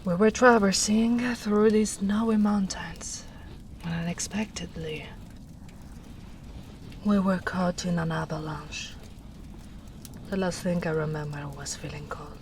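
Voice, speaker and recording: A woman voicing a gentle, protective female ogre in a soft-spoken roleplay, talking in a hushed, half-whispered way. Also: fairly low pitch, steady tone, measured pace, long pauses, a little hiss or hum. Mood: wistful.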